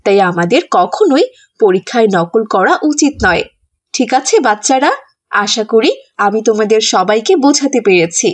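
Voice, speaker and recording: A woman's voice: fairly high pitch, lively intonation, moderate pace. A woman voicing a strict female schoolteacher in a children's cartoon, instructing like someone used to being obeyed. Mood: firm, moralizing. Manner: scolding, instructive, ends orders with check questions.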